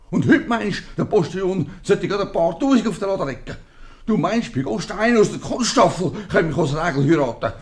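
An actor with a Swiss accent playing a knowing, lecturing, needling tavern acquaintance in a 1950s radio comedy. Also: male voice, high-pitched.

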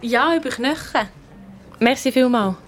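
A woman plays an impulsive schoolgirl in a detective radio play. Her voice sits high, with a Swiss German accent. She is blunt and excitable, quick to argue back. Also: slight background noise, natural voice. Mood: defensive. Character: Lisa, impulsive hot-headed schoolgirl detective.

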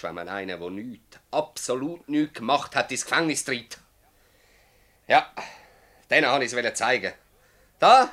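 A man's voice: medium pitch, a bit bright. A man playing a bitter, defiant master farmer in a radio drama, accusing and emphatic, with a Swiss German accent. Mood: outraged. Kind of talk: radio drama acting in dialect.

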